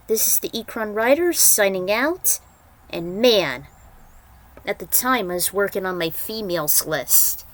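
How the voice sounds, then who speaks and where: A woman with a mid-range voice talks casually to her followers as the host and writer. She rambles in an opinionated way, airing her gripes bluntly. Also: medium pitch. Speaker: fan fiction writer and channel host. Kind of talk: casual rambling commentary on own writing.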